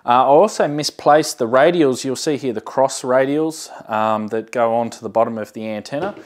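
A man's voice: mid-range voice, moderate pace, a little hiss or hum. A man in his thirties talking casually to camera as a hobbyist video host, giving a hands-on show-and-tell. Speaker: amateur radio hobbyist and channel host. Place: indoor workshop storeroom with shelving. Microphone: lapel mic.

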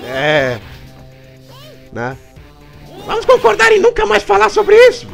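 A man with a Brazilian Portuguese accent putting on shrill, high voices for brash young women fighters in a game. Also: huge pitch swings, unhurried, gravelly, soft background music, heavily acted. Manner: exaggerated shrill falsetto.

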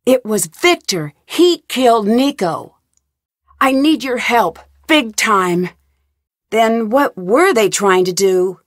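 A woman's voice: on the high side, lively intonation, slow, no room sound, clean studio sound. A woman voicing a young woman detective in game voice lines, asking earnest, inquisitive questions in a polite tone. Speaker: voice actor. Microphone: studio mic, dry.

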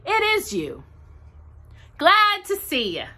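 A woman's voice: high voice, huge pitch swings, slow, pushed and bright, pitched above the performer's own voice, exaggerated voice. A woman voicing a fussy, careful, polite male rabbit in a storybook reading, prim and proper.

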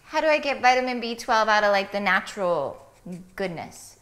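A woman's voice: mid-range voice, expressive, moderate pace, fairly bright tone, a little hiss or hum. A woman in her thirties co-hosting a cooking show, chatting playfully and casually in a home kitchen.

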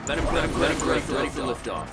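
A man voicing an eager military pilot in a video game, with a brisk, punchy delivery. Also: fairly high pitch, animated, lightly acted. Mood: eager, keyed-up. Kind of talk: video game unit barks. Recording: distant-sounding under loud music. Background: loud music.

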